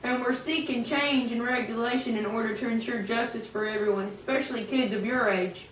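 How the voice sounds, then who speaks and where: A woman's voice: a little high, steady tone, fairly quick, lightly acted. A woman playing an earnest old-time American man in an amateur puppet show. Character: earnest 19th-century American man, farmer or reformer. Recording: echoey room, distant mic, clear noise.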